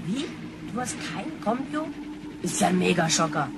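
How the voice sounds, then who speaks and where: An actress playing a brash, spoiled, jumpy young granddaughter from the future in a sci-fi radio play, slangy and excitable. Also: female voice, on the low side, normal speed, vocal fry, noisy background. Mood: incredulous, scornful. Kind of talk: sci-fi radio drama acting.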